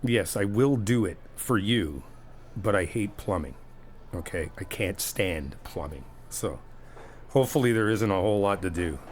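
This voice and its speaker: A man's voice: fairly low pitch, lively intonation, moderate pace, a little hiss or hum. A man doing a friendly ASMR roleplay, chatty and teasing, reassuring the listener as he helps out. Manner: reassuring, helpful.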